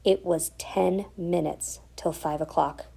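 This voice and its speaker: Female storyteller for children's picture book; soft-edged mellow tone with plenty of fry. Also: lowish voice. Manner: expressive, deliberate storytelling. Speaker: read-aloud storyteller.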